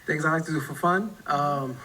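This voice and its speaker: A man in his forties with a New Orleans accent, talking in a bright, forward voice. He answers a dating show host in a laid-back way, tagging sentences with "right?". Faint ambient noise sits behind him. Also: a little high, normal speed, poor audio. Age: 40s.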